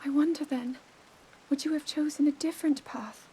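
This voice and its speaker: An actress voicing an earnest, thoughtful young princess and scholar in a game cutscene, speaking in a measured way. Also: female voice, high voice, steady tone, warm tone, slight background noise, lightly acted, low-quality recording. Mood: pensive. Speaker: game character.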